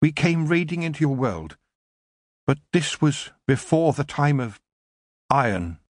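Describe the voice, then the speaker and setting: A man's voice: a little high, very animated, unhurried, no room sound, studio quality, voice pushed up. A man voicing a faint, frightened elf woman. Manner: faint, cowering.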